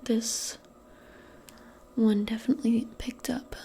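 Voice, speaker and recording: A woman role-playing in soft-spoken ASMR, speaking gently and soothingly in a breathy, mostly whispered voice. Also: a little high, little pitch movement. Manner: gentle, soothing, unhurried, conversational. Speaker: ASMR performer role-playing a modern witch.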